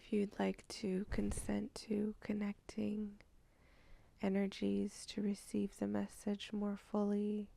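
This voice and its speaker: A woman leading a channeled guided meditation, speaking softly and half-whispering, with sighs and long pauses. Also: medium pitch, completely flat delivery, creaky. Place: indoors at night.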